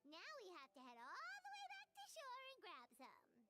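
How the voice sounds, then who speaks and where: A woman voicing a small, childlike, food-loving fairy companion in an anime video game, squeaky and full of exclamations. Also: moderate pace, very noisy, heavily acted. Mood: puzzled, doubtful. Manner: exclamatory, expressive.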